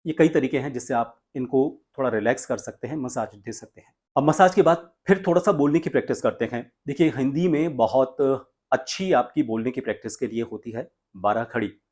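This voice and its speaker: A man with a mid-pitched voice teaches as a voice coach. He explains in an instructional tutorial style, taking the listener through things step by step. He is from India and presents directly to his viewers. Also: fairly quick, a little mellow.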